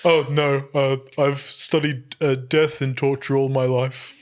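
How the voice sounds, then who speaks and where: A man improvising as a player in a comedy tabletop roleplay, talking casually with a hesitant, halting delivery. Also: mid-range voice, flat delivery.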